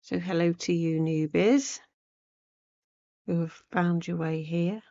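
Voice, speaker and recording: A woman hosts a casual craft demonstration in a croaky, cold-roughened voice full of gravelly fry. She takes her time, rambling and thinking aloud as she works. The sound is a little dull. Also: lowish voice, fairly even intonation, slow pace, mellow. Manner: unscripted, rambling, thinking aloud while working. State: croaky, hoarse after a cold. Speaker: craft tutorial host. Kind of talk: casual chatty needlework demonstration.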